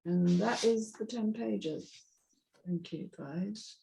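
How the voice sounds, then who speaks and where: A woman's voice: medium pitch, slow, creaky, dark tone, rough recording. A woman narrating the action lines of a screenplay as host of a script reading.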